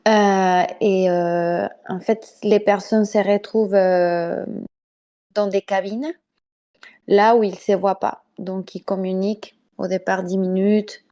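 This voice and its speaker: A woman's voice: mid-range voice, fairly even intonation, slow pace, dark tone, lo-fi sound. A woman from Mexico with a Spanish accent, chatting casually and laughing often, with many hesitations. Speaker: podcast guest. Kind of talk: casual discussion over voice chat. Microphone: home microphone on voice chat.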